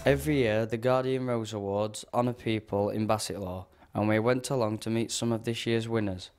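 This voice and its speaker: A man's voice: lowish voice, fairly even intonation, brisk, a little mellow, faint music behind. A man reading scripted narration as a reporter on a local newsreel. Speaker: news reporter.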